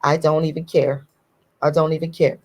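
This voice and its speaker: Hoarse-voiced woman ranting bluntly. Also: flat delivery, normal speed, a little mellow. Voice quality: deep, hoarse voice. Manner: blunt, emphatic, repeats key phrases. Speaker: YouTube commentator. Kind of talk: casual opinion monologue.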